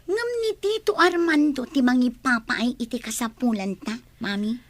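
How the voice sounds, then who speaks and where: An actress playing a worried, sensible young daughter in an Ilocano radio drama. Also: female voice, on the high side, sing-song, moderate pace. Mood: worried, questioning.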